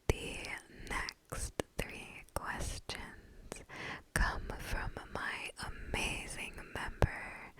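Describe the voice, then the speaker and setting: A young woman from the southern United States, softly whispering through a casual ASMR question-and-answer as the channel host. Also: poor audio. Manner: soft unvoiced whisper, slow and gentle; casual, uses "like" and "you know".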